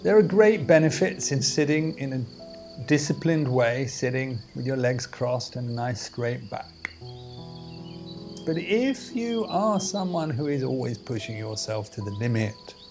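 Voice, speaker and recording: Guy doing guided meditation, slow n deliberate, bit of fry in the voice, soft music behind him. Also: mid-range voice, expressive. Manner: measured, deliberate phrasing with pauses. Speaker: meditation teacher. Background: music and outdoor insect sounds.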